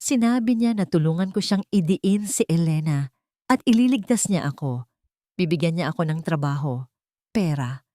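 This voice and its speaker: A woman's voice: lowish voice, lively intonation, normal speed, dark tone, dry recording, clean studio sound, lightly acted. Woman voicing a trembling, frightened confessor. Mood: fearful. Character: frightened woman in her thirties, former assistant.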